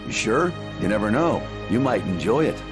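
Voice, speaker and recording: Gruff, low-voiced cynical man. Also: sing-song, moderate pace. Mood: dry, cynical. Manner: blunt, dismissive, dry. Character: gruff, cynical, blunt adult male fighter and scientist. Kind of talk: video game cutscene acting. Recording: loud music behind the voice.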